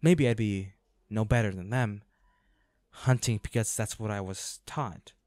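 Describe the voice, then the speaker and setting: Slow, low-voiced protective hunter boyfriend, acted by a man. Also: darkish tone, no room sound, natural voice. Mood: tender, weary. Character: protective young male ex-hunter, devoted boyfriend of a werewolf. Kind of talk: dramatic roleplay audio acting.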